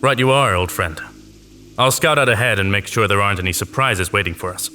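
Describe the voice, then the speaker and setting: Man doing a noble veteran knight, lowish bright forceful voice, formal, composed, music under it. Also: a little fast. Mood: calm, assured. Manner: formal, commanding, reserved. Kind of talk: fantasy audio drama acting. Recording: voice over background music.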